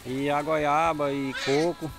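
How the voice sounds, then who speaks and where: A man's voice: fairly high pitch, flat delivery, takes it slowly. A middle-aged man from Ceará with a northeastern Brazilian accent, speaking plainly as a farmer in a casual outdoor interview. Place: outdoors on a farm. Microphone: handheld mic with furry windscreen. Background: music.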